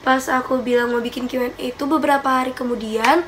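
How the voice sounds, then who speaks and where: A woman's voice: high voice, fast, a little hiss or hum. A young woman chatting casually through a Q&A vlog in her bedroom, a little stuffy-nosed from a cold. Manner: chatty, giggly, animated hand gestures. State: slightly stuffy nose from a cold. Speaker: vlogger. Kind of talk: casual Q&A chat. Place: small bedroom indoors.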